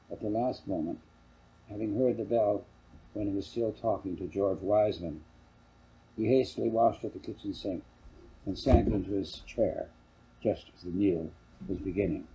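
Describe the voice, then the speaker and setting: An older man with a gravelly, husky voice, reading a novel aloud as narrator. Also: on the low side, mellow, rough recording. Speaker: author reading own novel. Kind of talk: scripted audiobook narration.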